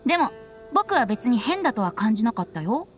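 A carefree teenage-sounding bard boy from an anime game. The voice is fairly high, with a teasing, theatrical lilt. Music plays clearly behind it. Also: very animated, brisk. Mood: breezy. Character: playful, carefree young male bard. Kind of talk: Japanese anime game voice acting.

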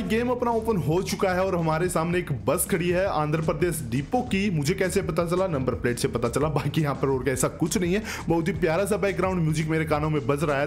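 A man, giving casual, chatty gameplay commentary in a joking way, close on a desk microphone. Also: fairly high pitch. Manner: chatty, joking, addresses viewers as bro. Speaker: gaming video host. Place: small indoor studio setup. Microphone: desk microphone up close, with headset.

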